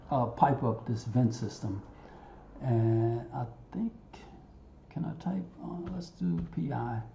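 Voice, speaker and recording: A man giving an unscripted software tutorial over a screen recording, haltingly thinking aloud and talking to himself as he works. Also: medium pitch. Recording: roomy, muffled, audible background noise.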